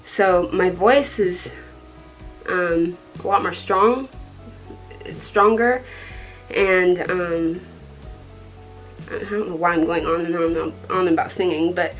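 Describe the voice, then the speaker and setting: A young woman from Colorado with a dark tone, chatting casually to the camera in a rambling way, with many ums and soft laughs. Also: on the low side, steady tone, slow pace, long pauses, faint music behind. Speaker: vlogger.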